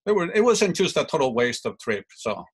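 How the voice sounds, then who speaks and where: A man's voice: on the high side, sing-song, a little fast, breathy edge. A middle-aged man from Mexico, telling long rambling stories as an interview guest. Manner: rambling, self-correcting storyteller. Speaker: guest fan. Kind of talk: casual interview answers.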